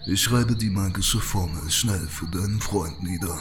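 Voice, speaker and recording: A man voicing an old, brooding necromancer mage in an audiobook, speaking slowly, gravely and deliberately. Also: low voice, background noise, voice pushed down. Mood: grave, measured. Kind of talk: audiobook character voice.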